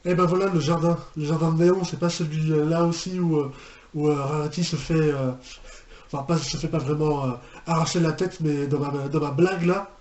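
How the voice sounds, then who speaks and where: A man's voice: fairly high pitch, steady tone, a little fast, a little mellow. A man giving a casual, hesitant reaction commentary, rambling and repeating his phrases. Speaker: reaction video host. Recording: echoey room with background noise.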